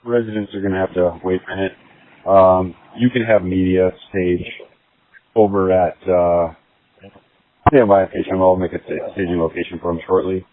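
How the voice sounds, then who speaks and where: Male incident commander, very low and monotone, informal orders on radio. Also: normal speed, slight background noise. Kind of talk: informal police radio instructions. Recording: tinny narrowband police radio transmission.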